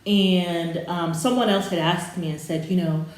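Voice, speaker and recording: A woman from Sierra Leone with a West African accent, co-hosting a casual chat and telling stories in an animated way, acting out the voices of the people in them. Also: fairly low pitch, unhurried. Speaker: podcast co-host. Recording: very echoey room.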